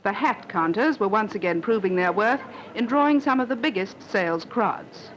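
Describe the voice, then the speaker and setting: A woman's voice: mid-range voice, lively intonation, moderate pace. A woman reading scripted narration for a 1950s newsreel. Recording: dull 1950s newsreel with faint noise.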